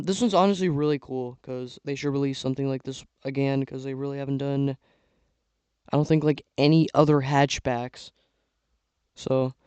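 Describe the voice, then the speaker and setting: A young man giving casual tier-list commentary on toy car sets, rambling with lots of fillers and a voice he says is going hoarse. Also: fairly high pitch, darkish tone, no room sound. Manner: rambling, filler-heavy, trailing off. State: losing his voice. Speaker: YouTuber ranking toy sets.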